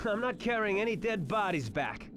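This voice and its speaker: An actor playing a blunt army field medic in a video game. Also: male voice, high voice, very animated, moderate pace. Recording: clear background storm noise.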